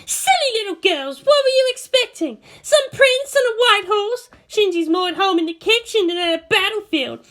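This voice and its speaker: A woman's voice: very high voice, lively intonation, moderate pace, few pauses, fairly bright tone, pitched above the performer's own voice. A woman voicing a proud, hot-tempered teenage girl pilot who rants with snarling scorn in an audiobook-style story reading. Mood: scornful. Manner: snarling, indignant ranting.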